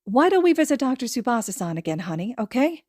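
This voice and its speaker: A woman voicing a doting, anxious mother in a story reading, fussing and halting as she talks. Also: fairly high pitch, sing-song, darkish tone, dry recording, studio quality, voice pushed up. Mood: nervous, flustered.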